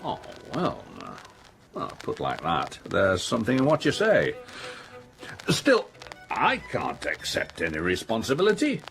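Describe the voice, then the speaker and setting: An actor playing a pompous, self-important middle-aged factory owner, blustering and dismissive in a radio play. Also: male voice, sits low, wildly swooping pitch, measured pace, gravelly, a bit bright, faint music behind, full character voice. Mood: defensive, dismissive.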